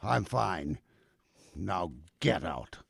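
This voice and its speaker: An actor playing a grumpy old man who dislikes people, curt and surly, with a gravelly voice, in an audio drama. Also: deep voice, expressive, drawn-out words, heavy background noise, exaggerated voice. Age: older. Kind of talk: audio drama character acting.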